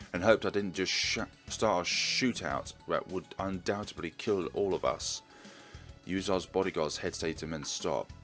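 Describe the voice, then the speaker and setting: A man's voice: sits low, a bit bright. A man hosting a let's play, reading a visual novel aloud with casual commentary. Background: music.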